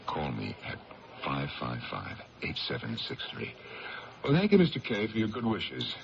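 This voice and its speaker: A man's voice: very deep voice, huge pitch swings, moderate pace, creaky, a crowd in the background, heavily acted. A man playing a troubled, guarded district attorney in a 1970s radio drama, educated and hesitant under pressure. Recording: muffled 1970s radio recording, faint hiss.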